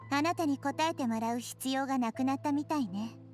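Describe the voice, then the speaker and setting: A woman playing a small young goddess in an anime game, calm, wise and solemn as she passes judgment. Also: high voice, very fast, natural voice. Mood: calm, knowing. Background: game music.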